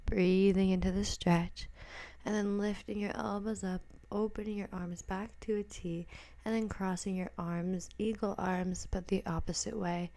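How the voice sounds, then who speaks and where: A woman instructor guiding a yoga class with a soft, breathy, gentle delivery. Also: mid-range voice, flat delivery, a little slow.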